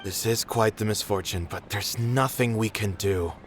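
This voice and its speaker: A man's voice: on the low side, moderate pace, noisy background, lightly acted. A man voicing a tired, resigned theater troupe leader in a video game.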